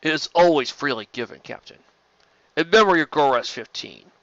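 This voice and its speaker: A man voicing a polite, guarded male alien administrator in a halting, formal manner for an audiobook-style story. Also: fairly high pitch, lively intonation, a bit bright, no echo, highs cut off.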